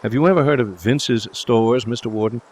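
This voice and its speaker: A man voicing a breezy, confident young gentleman suitor in an audiobook, speaking in a jaunty, reassuring, chatty way. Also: mid-range voice, moderate pace, pitched above the performer's own voice, lightly acted. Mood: chatty, confiding. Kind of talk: audiobook character dialogue.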